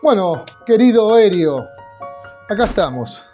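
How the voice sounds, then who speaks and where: A man's voice: sits high, big pitch swings, unhurried, soft background music. A man with a Rioplatense accent hosting an interview in his guest's home, friendly and chatty, speaking to viewers. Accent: Rioplatense Spanish. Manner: warm, chatty, addresses viewers. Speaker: host, interviewer. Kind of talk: enthusiastic casual interview.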